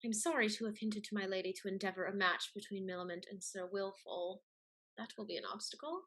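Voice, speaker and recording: An actress playing a cool, calculating mistress in a Restoration high-society comedy, speaking crisply and persuasively. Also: female voice, steady tone, fast, a bit bright, close to an everyday voice. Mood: cool, conspiratorial. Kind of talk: theatrical Restoration comedy acting.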